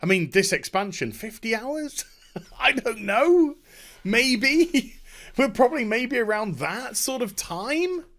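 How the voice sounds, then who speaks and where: A man chatting off the cuff about a video game as a streamer, effusive and overwhelmed, often halting, repeating himself and trailing off. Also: extremely high pitch, big pitch swings, fairly bright tone. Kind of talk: unscripted rambling game review chat.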